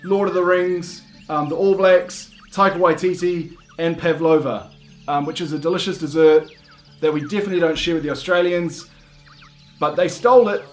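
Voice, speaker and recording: A New Zealand man with a somewhat high voice delivers a halting, deadpan comedy bit. His talk is full of "um"s and restarts, with faint music in the background. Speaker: comedic video host.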